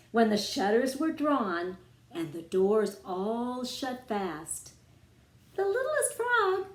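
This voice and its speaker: A woman reading a rhyming children's story aloud as its author, with expressive, dramatic phrasing aimed at young listeners. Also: fairly high pitch. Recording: roomy, echoing room sound.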